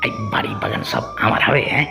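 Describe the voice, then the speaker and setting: A man's voice: on the high side, wildly swooping pitch, fast talker, big-room sound, music in the background. A man voicing a greedy, scheming village man in a Bengali cartoon. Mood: greedy excitement. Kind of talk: Bengali moral-story cartoon dubbing.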